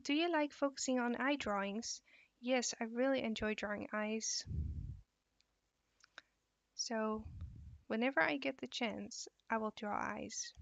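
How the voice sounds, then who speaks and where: A young Dutch woman with a fairly high, gravelly voice chats tiredly while she draws on a live stream. The sound is dry and a little muffled. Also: no echo. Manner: relaxed, reads and answers chat questions while drawing. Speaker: art teacher streaming live. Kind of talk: live drawing stream with chat.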